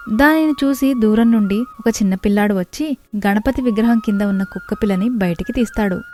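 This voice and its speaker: A woman's voice: mid-range voice, dark tone. A woman narrator reading a scripted story.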